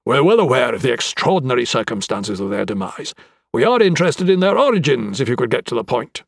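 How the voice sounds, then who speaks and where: A man voicing an impatient older High Sheriff in an audiobook, formal and curt. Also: moderate pace, gravelly, a bit bright, breathy edge, dry recording, studio quality, exaggerated voice.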